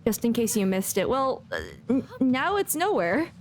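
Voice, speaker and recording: A woman's voice: a little high, very animated, normal speed, slight background noise. A woman giving casual, chatty live commentary as a game streamer, with playful asides. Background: faint game music.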